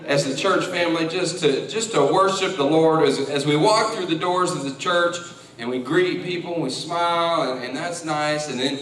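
A man's voice: high voice, fairly bright tone. A man leading a church service, talking casually and then praying aloud, often repeating words as he goes. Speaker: worship leader. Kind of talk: church service opening and prayer. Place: church sanctuary. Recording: echoey sanctuary from far off. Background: congregation.

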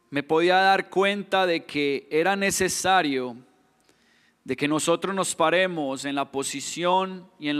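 A man's voice: on the high side, a bit bright, clear, resonant tone, in a large hall. A man in his thirties with a Colombian accent, preaching a sermon earnestly, often restarting words and building to emphatic questions.

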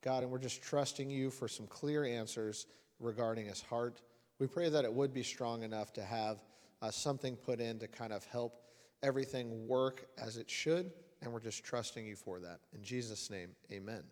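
A man's voice: mid-range voice, moderate pace, darkish tone. A man leading a church service in prayer and announcements, earnest and plain-spoken with frequent uhs. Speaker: lay worship leader. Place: church sanctuary. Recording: long church-hall reverb with faint music.

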